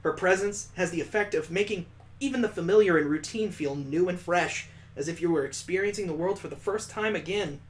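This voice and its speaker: A man's voice: high voice, a little fast. A man reading a visual novel aloud as a let's play host, adding sarcastic, playful asides.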